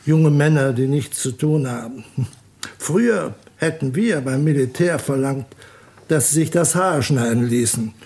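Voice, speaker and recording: A man playing a deferential, old-fashioned butler and former soldier in a German radio crime drama, formal and measured. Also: medium pitch, lively intonation, darkish tone. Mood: disapproving. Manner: formal, measured, servile politeness.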